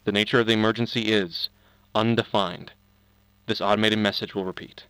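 An actor playing an official civil defense announcer, reading a scripted emergency bulletin in a formal, measured, solemn way. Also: male voice, fairly low pitch, steady tone, echoey room, noisy background, lightly acted.

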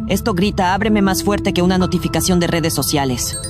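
A woman's voice: lowish voice, brisk, natural voice. A woman voicing a reckless, carefree young boy adventurer in a cartoon, cocky and wry. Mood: wry, curious. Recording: clear music behind the voice.

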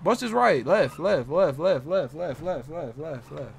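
A man's voice: fairly high pitch, animated, unhurried, vocal fry, a little hiss or hum. An American man streaming a roleplay game, giving insistent, urgent orders and repeating himself in slangy in-character chatter. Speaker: game streamer playing a roleplay crew leader.

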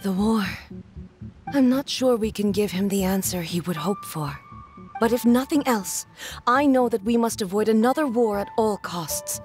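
A woman voicing a regal, stern divine ruler in a video game, formal and measured. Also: medium pitch, animated, a little mellow, faint music behind, close to an everyday voice. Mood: composed.

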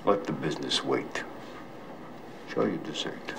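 A gravelly mob boss. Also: male voice, a bass voice, animated, background noise, full character voice. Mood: coaxing. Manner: slow, quietly threatening, deliberate. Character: menacing older male mob boss, cold and controlled. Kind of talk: film dialogue. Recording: film soundtrack with music underneath.

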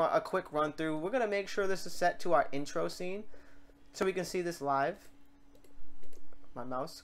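A tutorial host talks through software steps in a voice of middling pitch. The delivery is informal and rambling, with the speaker thinking aloud while working on screen. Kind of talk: casual software tutorial narration. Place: voice-over for a screen recording.

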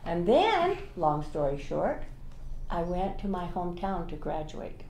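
Older woman, chatty rambling storyteller, lowish voice, roomy room, faint hiss. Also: sing-song, measured pace, a little mellow. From Utah. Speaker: interviewee, alumna. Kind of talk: casual reminiscing. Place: room with large windows, indoors.

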